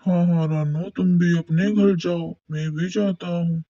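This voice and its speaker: A woman's voice: lowish voice, steady tone, a little slow, mellow, voice pushed down, lightly acted. A woman voicing an anxious male crow who warns others in an urgent tone. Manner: urgent, warning. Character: friendly male crow, hurried and worried. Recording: dull, muffled, from off-mic.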